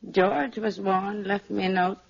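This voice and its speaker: A woman playing a sour, tough American hotel manager in a 1950s radio drama, curt and blunt, with gravelly folksy talk. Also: medium pitch, steady tone, unhurried. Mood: dry, defiant. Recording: dull vintage radio recording.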